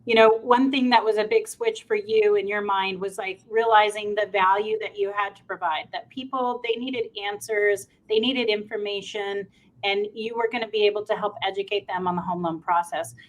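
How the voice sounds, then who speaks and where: A woman's voice: mid-range voice, fairly even intonation, moderate pace, rough recording. A woman co-hosting in an informal, encouraging way, asking questions in a conversational style. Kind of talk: conversational interviewing.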